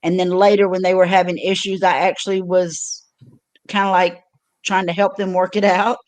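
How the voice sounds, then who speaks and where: A woman's voice: on the low side, flat delivery, moderate pace. An older woman hosting her own livestream, telling stories in a loose, chatty way with lots of fillers and restarts. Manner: rambling storyteller with many fillers and restarts. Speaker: livestream host. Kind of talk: casual chat.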